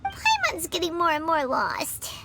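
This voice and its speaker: A woman voicing a tiny, childlike floating companion in a video game, naive and easily confused, with a squeaky, cutesy delivery. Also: huge pitch swings, a little slow, sharp tone, slight background noise, heavily acted. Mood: puzzled. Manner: squeaky, cutesy, speaks of self in third person. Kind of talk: scripted video game voice acting.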